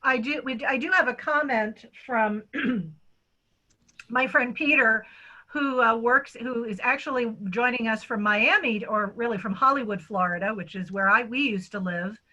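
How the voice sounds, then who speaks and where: A woman's voice: a little high, moderate pace, pushed and bright, poor audio. A woman chatting and explaining in a casual way as she hosts a theatre discussion over a video call. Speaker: radio theatre director hosting a post-show talk. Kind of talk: casual panel talk. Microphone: video call microphone.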